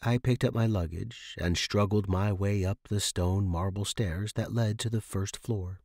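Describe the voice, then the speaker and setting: A man's voice: fairly low pitch, steady tone, measured pace, darkish tone, no echo, studio quality. A man reading scripted first-person fiction as the narrator.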